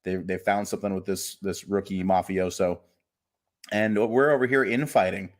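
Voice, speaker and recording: A man with a fairly low voice co-hosts a laid-back sports podcast. He grumbles about his team in a wry, gloomy way and pokes fun at himself as he goes. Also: steady tone, normal speed. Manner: wry, gloomy, self-mocking banter. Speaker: podcast co-host. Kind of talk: casual sports podcast chat.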